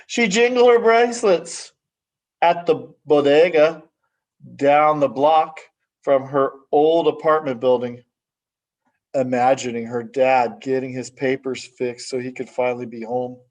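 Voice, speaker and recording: A man reading a children's story aloud expressively, with measured, dramatic phrasing and hushed sentence endings. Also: mid-range voice, highs cut off. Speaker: story reader. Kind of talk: expressive children's picture book read-aloud.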